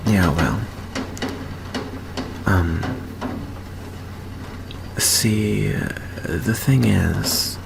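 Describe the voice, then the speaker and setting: A man acts out an earnest, nervous teenage vampire boy in an intimate ASMR roleplay. His voice sits low and crackles with vocal fry. He speaks slowly and hesitantly, stumbling over his words as he confides in a friend. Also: exaggerated voice. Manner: hesitant, halting, stammering.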